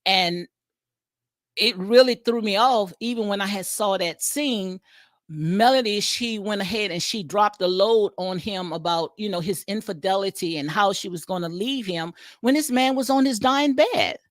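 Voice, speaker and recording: A Southern woman talks through a reality show on a home livestream, circling back with lots of fillers and repeated phrases. Her voice sits at a middling pitch. The sound is dry and close. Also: moderate pace, no room sound. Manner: rambling, repetitive, frequent fillers and restarts. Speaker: commentary vlogger. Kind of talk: casual reality-TV commentary livestream.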